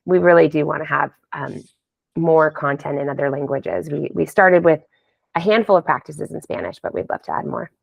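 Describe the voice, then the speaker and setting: A slightly dull, dry recording of a woman guest in a casual podcast interview. She talks in a low-pitched voice and sounds keen on what she shares, chatting in an informal conversational style. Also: expressive, normal speed, vocal fry, no echo. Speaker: podcast guest, wellness app founder.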